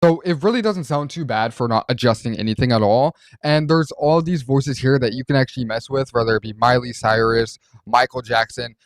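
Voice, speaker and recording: A man presents a software walkthrough with a mid-pitched voice. He talks informally and rambles a little as he explains. The recording is dry, with no room sound around him. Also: brisk, hardly any pauses, dry recording. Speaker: host reviewing voice changer software.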